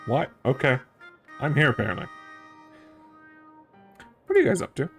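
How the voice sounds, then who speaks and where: A streamer giving casual game playthrough commentary, musing and thinking out loud. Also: medium pitch, wildly swooping pitch, normal speed, soft background music.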